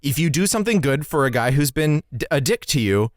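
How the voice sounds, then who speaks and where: A man's voice: on the high side, lively intonation, brisk, dry recording. A man co-hosting a podcast, explaining in a bookish, wry way with casual swearing. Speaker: podcast co-host.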